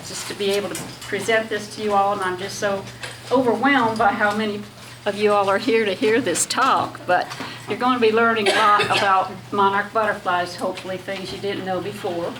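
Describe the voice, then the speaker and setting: Woman guest speaker, gentle and halting, recorded from afar in a noisy hall. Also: mid-range voice, steady tone. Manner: soft-spoken, halting with many fillers. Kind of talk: casual talk to a women's lunch group. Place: echoey hall with audience. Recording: echoey hall, distant, background noise.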